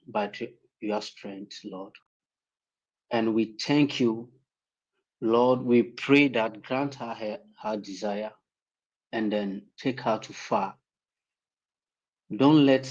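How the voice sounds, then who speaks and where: A man from Ghana offering a prayer and warm advice as a panelist on a livestream call. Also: lowish voice, lo-fi sound. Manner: earnest, slow, preacher-like phrasing. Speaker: guest panelist. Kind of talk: prayer and well-wishing on a livestream.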